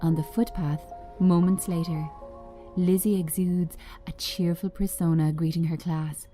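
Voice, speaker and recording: A woman giving a scripted narration of screenplay directions in a measured, understated manner. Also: lowish voice, fairly even intonation, mellow, faint music behind.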